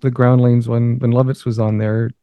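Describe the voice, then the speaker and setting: A man co-hosting a podcast as a guest, chatting quickly and eagerly about what he hears. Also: medium pitch, little pitch movement, warm tone, no echo. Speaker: guest co-host. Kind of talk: casual podcast commentary.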